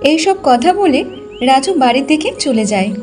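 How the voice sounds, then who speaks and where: Very high-pitched woman narrating a tale. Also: expressive, normal speed. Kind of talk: scripted storybook narration for cartoon. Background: clearly audible music.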